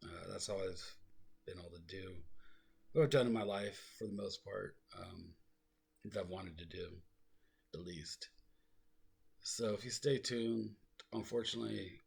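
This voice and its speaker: Low gravelly soothing male storyteller. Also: a little slow, pauses often. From South Lake Tahoe, California. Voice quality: soft, low voice that he calls soothing. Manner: rambling, self-deprecating, full of fillers and digressions. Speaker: vlogger telling his life story. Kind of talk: rambling casual storytelling, aiming to soothe.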